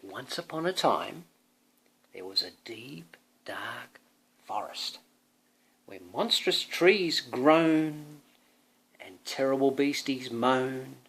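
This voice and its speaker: Animated dad reading a storybook slowly. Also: male voice, medium pitch, creaky, poor audio. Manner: expressive, drawing out words and prompting the child listener. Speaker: father reading a picture book to his young son. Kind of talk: storybook read-aloud with chatty asides.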